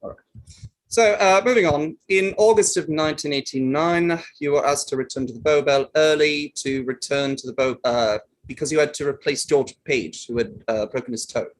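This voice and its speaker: A man reading a courteous barrister in a courtroom play, putting formal, halting questions. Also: on the high side, close to an everyday voice, poor audio. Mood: patient, formal. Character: courteous male barrister leading his own witness. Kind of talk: table read of a courtroom play.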